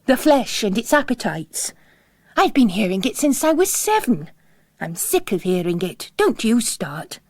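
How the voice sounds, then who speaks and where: A woman's voice: on the high side, sing-song, a little slow, a little mellow, dry recording, clean studio sound. A woman voicing a young nun, blunt and plain-spoken, weary of piety and wary. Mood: fed up.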